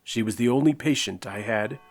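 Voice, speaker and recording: A man's voice: medium pitch, fairly quick, soft background music, natural voice. A man playing a kindly, devout old doctor in an audio drama, speaking in an earnest, courteous way. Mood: reflective.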